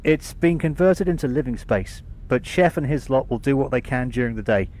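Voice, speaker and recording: A man playing a brisk, friendly starship engineer in a science fiction audio drama. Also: medium pitch, expressive, a little mellow, lightly acted, poor audio. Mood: brisk, matter-of-fact. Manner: informal, matter-of-fact. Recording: background noise, room ambience.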